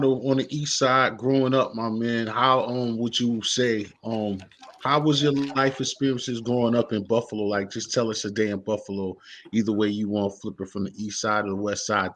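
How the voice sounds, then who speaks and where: Laid-back low-voiced male host. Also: steady tone, fairly bright tone. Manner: laid-back, halting questions, says "man". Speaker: interview host. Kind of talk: casual interview chat.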